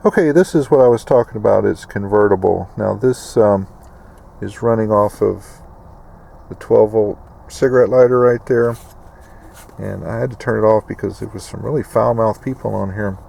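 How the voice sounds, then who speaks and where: A man's voice: fairly low pitch, moderate pace, vocal fry, warm tone. A middle-aged American man giving a casual, rambling, folksy walkthrough of gear as a video host. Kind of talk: casual explanatory product walkthrough. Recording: noticeable background vehicle and ambient noise.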